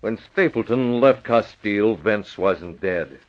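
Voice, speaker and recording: A masked vigilante of 1940s radio drama with a fairly low voice. He is cool, shrewd and unruffled, giving clipped orders. The old recording is dull and muffled. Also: expressive, slow pace. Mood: cool, bluffing.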